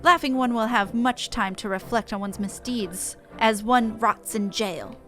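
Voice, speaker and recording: A woman's voice: fairly high pitch, unhurried, a bit bright, soft background music. A woman voicing a stern, formal lawkeeper creature in a game, accusing in stilted third-person speech.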